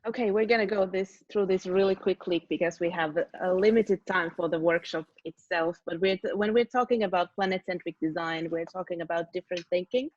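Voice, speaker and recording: A woman's voice: on the low side, fairly quick, hardly any pauses, a little mellow, dry recording. A woman in her thirties presenting an online design workshop over a video call, casual and full of fillers. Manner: casual, filler-heavy, trailing off. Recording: dull, dry video call audio.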